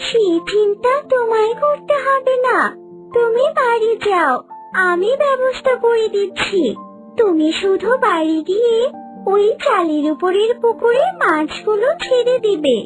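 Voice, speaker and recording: A performer voicing a kind magical talking fish in a children's cartoon, with a gentle, measured delivery. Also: extremely high pitch, soft background music. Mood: reassuring, instructive. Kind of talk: children's cartoon story dialogue.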